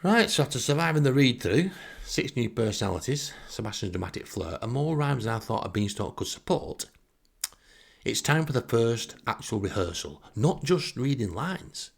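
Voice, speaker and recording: A man's voice: medium pitch, big pitch swings, moderate pace, vocal fry, slightly rough. A man telling a first-person story in a casual, sardonic, self-deprecating way. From northern England. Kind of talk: wry first-person anecdote, casual narration.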